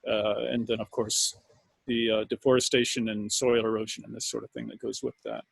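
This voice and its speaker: Gravelly, husky-voiced man. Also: lowish voice, flat delivery, brisk, dry recording, rough recording. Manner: hesitant, many fillers. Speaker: panel speaker. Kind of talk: casual talk.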